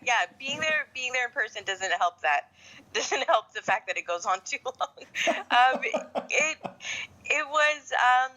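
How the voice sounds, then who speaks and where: A middle-aged woman from Canada talking as a podcast guest in a measured, reflective, conversational way. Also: high voice, lively intonation, sharp tone, poor audio. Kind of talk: conversational interview.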